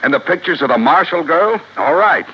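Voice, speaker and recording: An actor playing a blunt, insistent American police detective in a 1950s radio crime drama, slangy and emphatic. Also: male voice, fairly high pitch, animated, a little fast. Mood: insistent, excited. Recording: muffled, noisy old radio recording.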